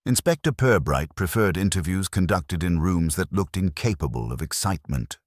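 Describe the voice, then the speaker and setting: A man narrating a literary detective story in a measured, dry and formal manner, as for an audiobook. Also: low voice, animated, no echo.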